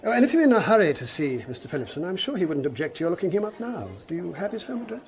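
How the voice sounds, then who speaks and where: A man's voice: sits high, sing-song, rapid-fire. A man playing an accommodating school second master in a radio drama, fussy, wordy and wryly hesitant. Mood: obliging, wry. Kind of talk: full-cast radio drama acting.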